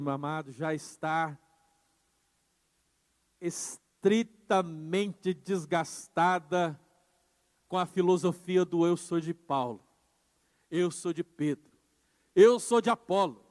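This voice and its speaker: A man preaching with a very high voice, his words ringing out in a church hall with long reverb. He drives his points home, dropping low and quiet, then rising and pressing louder. The sermon style is earnest, addressing the congregation directly. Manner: emphatic preaching, swings from soft to loud. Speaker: pastor.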